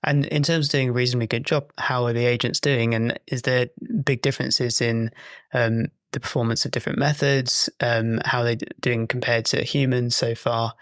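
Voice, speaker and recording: A man's voice: mid-range voice, little pitch movement, moderate pace, fairly bright tone, no echo, clean studio sound. A man hosting a podcast interview, conversationally thinking aloud as he forms long questions.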